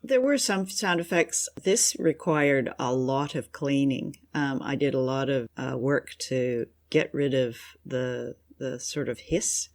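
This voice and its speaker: Low-register woman co-hosting a podcast. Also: slow pace, few pauses, darkish tone. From Canada. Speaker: podcast co-host. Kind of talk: casual discussion.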